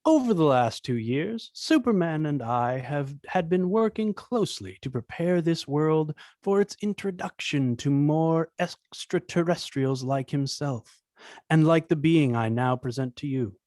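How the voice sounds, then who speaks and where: A man playing a smooth-talking, scheming tycoon villain who makes a pompous public speech in a script read-through. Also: on the high side, lively intonation, measured pace, darkish tone, no room sound, studio quality. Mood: pompous, showy. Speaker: table-read performer.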